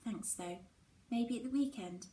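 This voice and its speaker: A woman's voice: on the high side, measured pace, dark tone, voice pushed up, close to an everyday voice. A woman reading the part of an anxious, downcast schoolgirl in a storybook, conversational and emphatic. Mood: weary, worried. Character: anxious, downcast schoolgirl confiding in her best friend. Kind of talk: storybook character dialogue. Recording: roomy echo with faint background noise.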